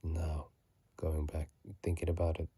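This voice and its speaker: A video host whispering softly in a breathy, hushed voice, reading aloud and adding casual commentary in an ASMR style, close to a phone mic. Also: rumbling low, monotone, a little slow, no room sound. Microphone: phone mic held close.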